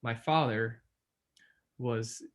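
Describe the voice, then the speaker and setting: A man's voice: mid-range voice, extremely slow. Young male podcast co-host, hesitant and filler-heavy, casual. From New York, USA. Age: young adult. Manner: hesitant, many fillers and restarts. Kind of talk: casual chat.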